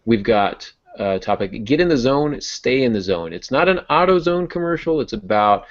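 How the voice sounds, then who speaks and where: A man's voice: medium pitch, expressive, normal speed. A man hosting a casual podcast, chatty and explanatory, with a self-deprecating sense of humor. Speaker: podcast host and novelist. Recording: dull lo-fi audio.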